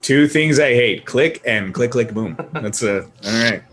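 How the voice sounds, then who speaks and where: Animated, sweary man bantering. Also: medium pitch, measured pace. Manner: animated, profane, opinionated. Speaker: podcast panelist. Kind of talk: casual pop culture banter.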